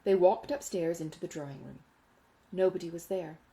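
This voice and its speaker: A woman reading a classic novel aloud as an audiobook, in an expressive literary narrating style. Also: fairly low pitch, normal speed, rough recording. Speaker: audiobook reader.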